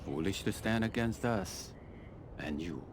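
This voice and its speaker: Casting: game colonel, low voice, imperious and calculating, formal delivery, noisy ambience behind. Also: male voice, expressive. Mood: disdainful. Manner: measured, imperious, formal, dryly condescending. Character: cold, calculating middle-aged Chinese army colonel, male. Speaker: military commander giving mission briefings. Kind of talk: video game mission briefing dialogue.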